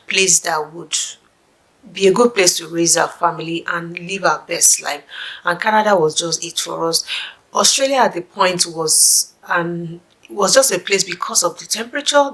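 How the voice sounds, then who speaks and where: A woman's voice: on the low side, a bit bright, low-quality recording. A middle-aged woman from Nigeria with a Nigerian accent, chatting casually to camera as a vlogger for immigrants. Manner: chatty, musing, with asides and restarts.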